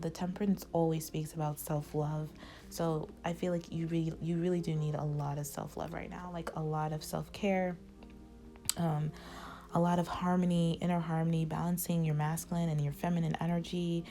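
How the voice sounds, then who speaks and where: A woman's voice: sits low, level tone, moderate pace, dark tone. A woman doing a casual tarot reading, rambling with lots of fillers and losing her voice. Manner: rambling, many "like" fillers, advising tone. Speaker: tarot reader.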